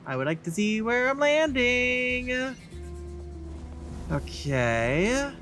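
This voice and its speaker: A man's voice: very high-pitched, very animated, very slow, sharp tone, soft background music. A man giving casual let's play commentary as he reacts to a video game.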